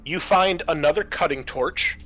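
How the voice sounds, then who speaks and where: A man's voice: on the high side, normal speed, a bit bright, rough recording. A man acting as game master, casually explaining tabletop game rules. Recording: clear background noise.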